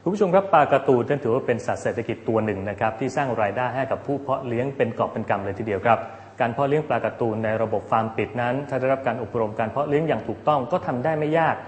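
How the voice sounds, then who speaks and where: Very fast male presenter in echoey hall. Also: mid-range voice. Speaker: TV programme presenter. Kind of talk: scripted magazine-show presenting. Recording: echoey hall with long reverb.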